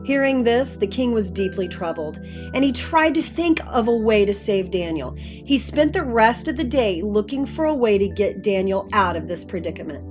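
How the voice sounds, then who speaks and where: A woman's voice: medium pitch, lively intonation, moderate pace. A woman reading a Bible story aloud as a scripted narrator, on slightly muffled audio. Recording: muffled audio with faint music.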